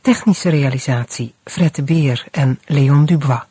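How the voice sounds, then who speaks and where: An announcer reading out the credits of a radio play in a formal, measured way. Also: mid-range voice, mellow, husky, no room sound.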